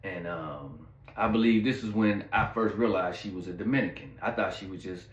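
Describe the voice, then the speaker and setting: A man with a fairly low voice talks loosely to his viewers, drifting through a personal story with lots of fillers and side remarks. His voice rings a little in a roomy, echoey space. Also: normal speed. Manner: rambling, laid-back, heavy on fillers and asides to viewers. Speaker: channel host telling a story. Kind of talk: casual storytime monologue.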